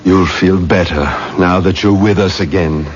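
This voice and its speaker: A man's voice: deep voice, steady tone, moderate pace, slight background noise, close to an everyday voice. An actor playing an anxious, doting father, fretful and theatrical, in a 1970s radio drama. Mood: worried. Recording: muffled old radio with music.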